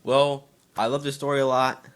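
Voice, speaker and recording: A man's voice: medium pitch, flat delivery, moderate pace, clean tone. A man reading aloud as an amateur narrator, now and then stumbling over his words. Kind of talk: dramatic story reading by an amateur.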